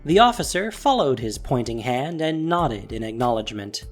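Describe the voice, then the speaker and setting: Narrator, male: dramatic scripted storytelling, swooping intonation, emphatic stresses, soft music behind. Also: mid-range voice, very animated, normal speed.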